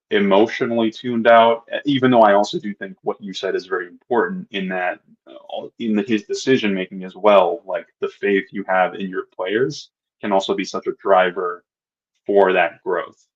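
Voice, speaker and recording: A man's voice: low voice, husky, muffled recording. A man co-hosting a podcast, thinking aloud with hesitant restarts. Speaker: podcast co-host. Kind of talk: casual sports chat.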